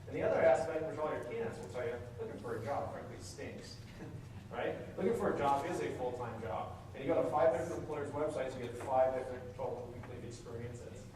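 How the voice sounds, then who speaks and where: A man giving a fast, energetic, interactive presentation to a room of people. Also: medium pitch. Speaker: conference speaker. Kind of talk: live presentation talk. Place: meeting room with audience. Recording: echoey room from far off with noise.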